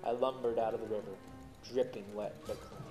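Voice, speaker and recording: A man narrating a first-person story in an expressive, dramatic way as host of a story show. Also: lowish voice, brisk, faint music behind. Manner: dramatic, animated on exciting parts.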